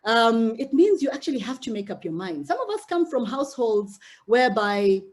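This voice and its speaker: A woman's voice: fairly high pitch, big pitch swings, normal speed, a little mellow. A middle-aged woman from Uganda giving an earnest, direct talk to young women she mentors, often repeating words as she goes. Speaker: mentor speaking to a mentee cohort. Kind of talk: motivational talk.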